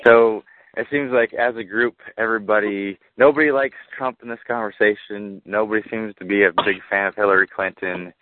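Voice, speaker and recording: A man hosting a casual political chat, measured and summarizing, with many fillers. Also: fairly low pitch, fairly even intonation, no room sound. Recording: tinny phone-like line, dry.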